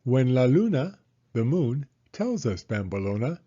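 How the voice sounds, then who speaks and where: A man voicing a kindly old woman, a gentle, orderly storybook gardener who teaches. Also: mid-range voice, big pitch swings, measured pace, dull audio. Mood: gentle, instructive.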